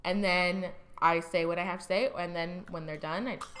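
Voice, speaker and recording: A bright, forceful woman's voice, a little strained, sitting on the lower side for a woman. She chats casually as a teacher co-hosting a podcast, quick to laugh. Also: fairly even intonation, slight background noise, low-quality recording. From New Jersey. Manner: chatty, laughs often. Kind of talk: casual chat.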